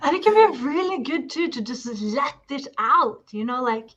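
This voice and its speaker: A woman's voice: sits high, expressive, normal speed, fairly bright tone. Fields: Sex: woman. Native language: not English. Audio: muffled. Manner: thoughtful, talks in long hedged sentences with fillers. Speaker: guest discussing marketing and projects. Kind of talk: casual reflective chat.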